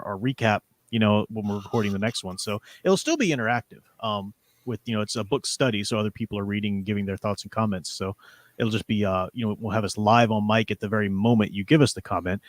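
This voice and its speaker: A man hosting a casual livestream, chatting informally with his co-host and addressing viewers directly. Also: mid-range voice.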